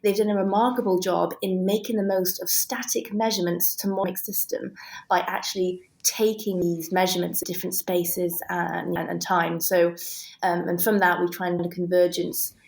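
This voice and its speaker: A woman's voice: fairly low pitch, level tone, normal speed, gravelly, darkish tone, rough recording. A woman with a British accent explaining technical detail conversationally and with enthusiasm, as an interview guest. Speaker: guest, scientist and author.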